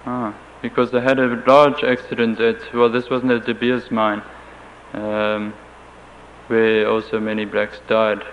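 A German-accented man whose first language is German, speaking in a flat, level tone with little movement in pitch. He answers slowly and carefully, often restarting his sentences. The recording is a dull lo-fi tape with a faint hiss underneath. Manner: halting, careful, with frequent restarts. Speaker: interviewee.

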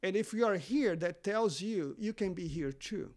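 A man with a high voice, speaking English as a non-native language. He is a seminary teacher answering questions as a guest on a podcast. His talk is halting, full of fillers and restarts. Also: expressive, a little mellow. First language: not English.

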